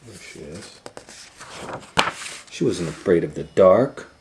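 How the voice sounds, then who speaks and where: Man, low pitch, slow pace, dramatic storybook reading, playful storytime host. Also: animated, noisy background. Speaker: storytime host reading a picture book aloud. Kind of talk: animated children's storybook reading.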